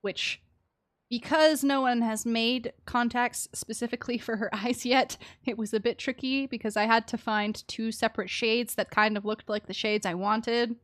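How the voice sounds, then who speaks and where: A woman game streamer giving casual, chatty live commentary with an animated, empathetic manner. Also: a little high, moderate pace, no echo. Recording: game music behind the voice.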